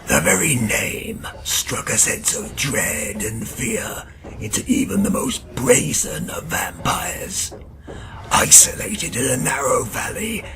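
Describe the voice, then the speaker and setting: A man playing a proud, grim, vengeful vampire in an audio drama, with a gravelly voice and brooding, theatrical delivery. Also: mid-range voice, exaggerated voice. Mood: brooding, menacing. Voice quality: gravelly, creaky. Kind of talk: dramatic first-person narration in an audio drama. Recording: music under the voice. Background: music.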